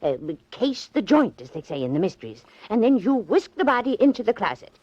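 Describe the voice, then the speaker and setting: A woman's voice: on the high side, very animated, a little fast, a little mellow, no echo. Elderly lady sleuth, prim, chatty, insistent, swooping intonation, dry muffled 1940s radio drama sound. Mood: scheming, insistent. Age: older. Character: spirited, eccentric elderly small-town Midwestern lady, bossy amateur sleuth. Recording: dull vintage 1940s radio recording.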